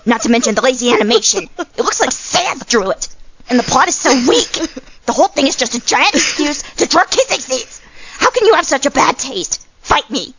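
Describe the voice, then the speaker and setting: A woman's voice: sits high, big pitch swings, fairly bright tone, no room sound, highs cut off, heavily acted. A woman voicing a nerdy, opinionated cartoon monster who gets riled easily and rants indignantly in a comic dub. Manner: indignant, fast-ranting. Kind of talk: animated comic dub acting.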